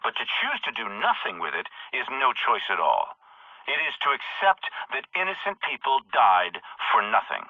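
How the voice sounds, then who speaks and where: A ruthless military CEO over a thin line. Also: male voice, mid-range voice, wildly swooping pitch, no echo. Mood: calculating. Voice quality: measured, commanding delivery. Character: ruthless, calculating older male military CEO. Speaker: head of a private military company. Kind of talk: recorded audio log monologue. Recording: dry, phone-like narrow audio.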